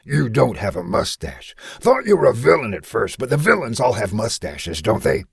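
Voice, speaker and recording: A man's voice: mid-range voice, very animated, normal speed, no room sound, studio quality, pitched above the performer's own voice, full character voice. A man voicing a wisecracking, well-educated male gorilla who talks in slangy, streetwise asides. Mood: teasing. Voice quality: gravelly growl. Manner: slangy, streetwise, mock pidgin then casual.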